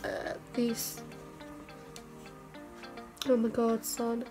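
Croaky young woman w a cold, kinda high voice, rambly makeup chat in her bedroom, faint music behind. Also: completely flat delivery, drawn-out words. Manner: chatty, self-mocking, rambling with fillers. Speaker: beauty vlogger. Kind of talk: casual makeup tutorial chat. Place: bedroom, indoors. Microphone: camera mic at arm's length.

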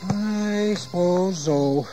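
Slow-talking, grumbling indentured servant. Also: male voice, high-pitched, music in the background, low-quality recording. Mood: bitter, weary. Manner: rustic, deferential yet grumbling. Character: bitter English indentured servant, working-class father, grumbling. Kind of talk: dramatized audio history acting. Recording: 1980s audio drama, insect ambience.

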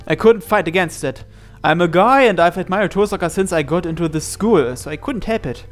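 The kind of higher male voice you would hear on a gaming channel, reading a story game aloud over music. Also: lively intonation, a little fast. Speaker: reader narrating a visual novel playthrough.

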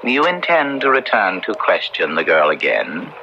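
An actor playing a cruel Puritan magistrate with archaic, theatrical diction in an old-time radio drama. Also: male voice, low-pitched, wildly swooping pitch, normal speed, muffled recording, background noise, exaggerated voice. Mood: grave, courteous. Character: cruel, menacing Puritan magistrate of colonial times.

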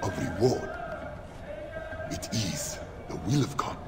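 An actor playing a fervent, gun-loving former warlord turned preacher in a game, theatrical, swinging from hushed to thundering. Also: male voice, lowish voice, expressive, takes it slowly, a loud crowd, very noisy. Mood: hushed, grave. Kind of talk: scripture-quoting sermon in a game cutscene.

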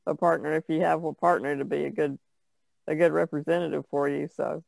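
A woman's voice: sits low, level tone, a little fast, vocal fry, darkish tone, dry recording. A woman, an artist guest, chatting casually in a rambling way that trails off. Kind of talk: casual chat.

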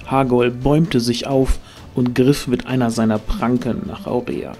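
A man narrates a scripted fantasy adventure as an audio drama. His voice sits at an average pitch, and soft music plays behind him. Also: steady tone, unhurried.

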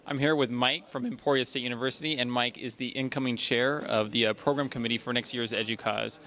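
An interviewer in his thirties with a gravelly edge to his voice asks quick, upbeat questions at a conference. His delivery is brisk and friendly. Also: mid-range voice, fairly even intonation, pushed and bright. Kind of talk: event interviewer.

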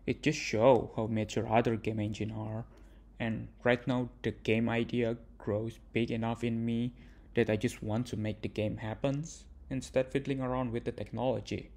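A man's voice: medium pitch, little pitch movement, normal speed, gravelly, a little mellow. A young man narrating his own game devlog in a plain, earnest way, with some non-native phrasing. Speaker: indie game developer.

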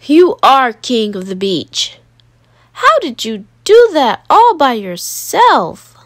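An excited storybook beachgoer calling out to a kid, voiced by a woman reading aloud. The delivery is loud and exclamatory, pitched high with wide, lively swings. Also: slow pace, no room sound, clean studio sound, full character voice. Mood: amazed. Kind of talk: children's storybook read-aloud characters.

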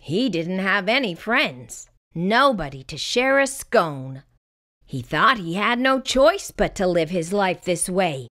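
A woman reading a children's picture book aloud in expressive, scripted narration. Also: medium pitch, slow pace.

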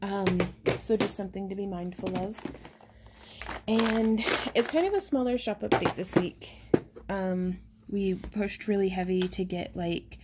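A chatty woman, showing handmade stones in a casual shop update, talking softly and excitedly over the camera. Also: medium pitch, unhurried, very noisy. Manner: chatty and excitable, drops into soft whispers over pretty stones. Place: indoors at a craft table.

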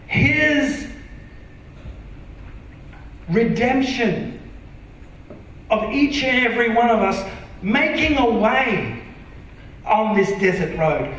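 An Australian man preaching a sermon from a church stage, earnest and emphatic, sometimes dropping to a soft, intimate tone. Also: high-pitched, slight background noise. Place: large dark church auditorium stage. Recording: very echoey hall from far off.

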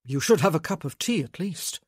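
A woman reading a novel, voicing a guilt-ridden, defensive man who talks in a terse, halting, desperate way. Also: low voice, lively intonation, slightly rough, dry recording, clean studio sound. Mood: halting, awkward.

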